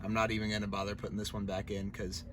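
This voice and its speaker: A man's voice: lowish voice, completely flat delivery, quick pace, fairly bright tone. Man thinking aloud over vehicle noise. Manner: informal, thinking aloud while working. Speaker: DIY truck vlogger. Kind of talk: casual hands-on tutorial talk. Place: in or around a pickup truck. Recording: clearly noisy, vehicle ambience.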